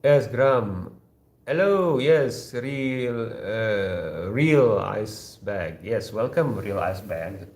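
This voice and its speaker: A man's voice: lowish voice, expressive, extremely slow, darkish tone. Joking Indonesian man in an echoey room. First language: Sundanese. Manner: joking, teasing, many fillers and restarts. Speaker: host of a live chat stream. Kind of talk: casual live chat reading viewer comments.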